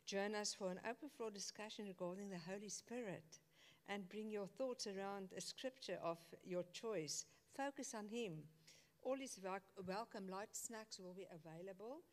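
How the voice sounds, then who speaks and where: A woman's voice: medium pitch, normal speed. A woman announcing her home group to a church, nervous with many fillers and small laughs. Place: church hall. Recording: long church hall reverb.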